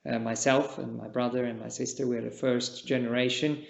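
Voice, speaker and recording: A man from Switzerland, a native Czech speaker, giving a gentle, halting dharma talk as a Buddhist meditation teacher, with long pauses. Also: mid-range voice, level tone, creaky. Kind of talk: unscripted dharma talk at a retreat. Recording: echoey room, dull lo-fi sound.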